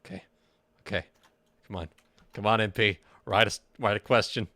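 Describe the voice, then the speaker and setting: A man's voice: lowish voice, moderate pace, sharp tone, no echo. A young man co-hosting a livestream, chatting casually and teasing in jokey banter. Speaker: livestream co-host.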